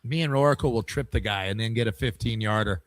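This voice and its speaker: A man's voice: on the low side, fast talker, dry recording. A man hosting a casual podcast, chatty and joking, leaning on fillers like "I mean". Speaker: podcast host.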